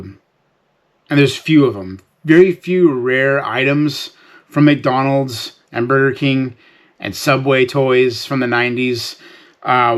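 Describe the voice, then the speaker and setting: A man's voice: mid-range voice, fairly bright tone. A man hosting a casual, unscripted sales vlog, explaining things to viewers in a conversational, folksy way.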